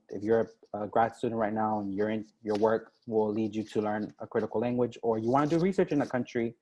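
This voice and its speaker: A man's voice: lowish voice, darkish tone, low-quality recording. Conversational young man, video call. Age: young adult. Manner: conversational, many ums and "right?" tags. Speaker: diplomat and guest speaker. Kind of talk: informal online info session.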